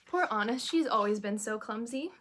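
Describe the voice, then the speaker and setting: A woman's voice: a little high, animated, normal speed, a bit bright. A woman narrating a children's storybook expressively, with little asides to young listeners. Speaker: storyteller reading a children's book aloud in character as a princess.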